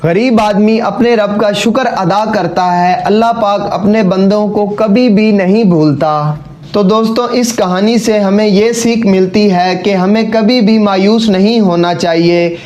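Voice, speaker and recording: A man's voice: high-pitched, fairly even intonation, a little slow, a little mellow. A man delivering scripted narration for a cartoon moral story.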